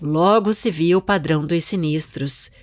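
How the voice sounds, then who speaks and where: A woman's voice: deep voice, unhurried, noisy background. Brazilian woman narrating a literary tale. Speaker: author and narrator reading her short story. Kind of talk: dramatized literary reading.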